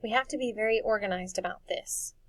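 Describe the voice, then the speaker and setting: A woman's voice: medium pitch, a little fast, no echo, lightly acted. A woman voicing a brave, determined ten-year-old boy in a storybook, organized and urgent in manner.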